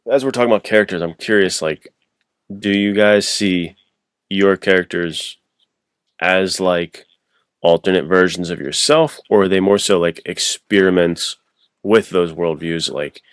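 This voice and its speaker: Deep-voiced man chatting casually on a podcast. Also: steady tone, a little slow, clear, resonant tone, no echo, clean studio sound. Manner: informal, slangy, acts out imagined remarks. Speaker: podcast co-host.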